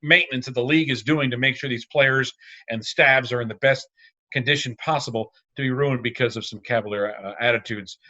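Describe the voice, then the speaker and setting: A man's voice: mid-range voice, brisk, sharp tone, dull audio. A man speaking as a guest announcer in a casual sports discussion, talkative and emphatic. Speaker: radio and arena announcer guest.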